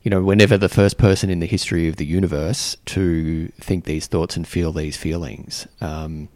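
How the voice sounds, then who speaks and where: A man hosting a podcast interview, speaking gently and haltingly with many fillers and restarts. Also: sits low, fairly even intonation, no echo. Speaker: podcast host. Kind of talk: casual interview. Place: Canberra.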